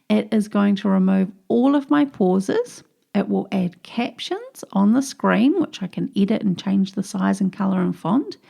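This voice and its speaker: Gravelly New Zealand woman podcasting. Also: medium pitch, warm tone. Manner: upbeat and chatty, explaining step by step. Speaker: podcast host. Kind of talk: solo podcast tips episode.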